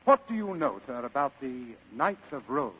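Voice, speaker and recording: An actor playing a genteel, scheming fat crime boss in old radio drama style, pompous, florid and ornately courteous, with a husky voice. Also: male voice, medium pitch, big pitch swings, normal speed. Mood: grand, savoring. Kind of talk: 1940s-style radio acting. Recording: muffled old radio recording.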